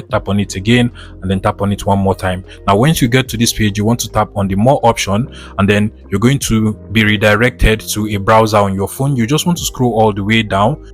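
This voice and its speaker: A man with a fairly low voice presents a tech how-to as a voice-over. He walks through each step methodically, in an instructional way. Music plays behind him. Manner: methodical, instructional, repeats set phrases. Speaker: tutorial host.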